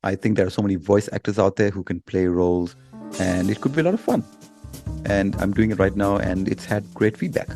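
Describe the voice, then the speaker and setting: Low-voiced man, emphatic and explanatory. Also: fairly quick, darkish tone, soft background music. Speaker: guest podcasting expert. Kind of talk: explanatory, persuasive talk.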